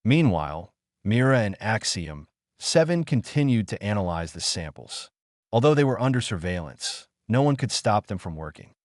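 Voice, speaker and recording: A man narrates a science fiction audio drama in a low voice. His intonation moves around a lot, and the sound is dry and close. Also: big pitch swings, moderate pace, no room sound. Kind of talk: scripted sci-fi audiodrama narration.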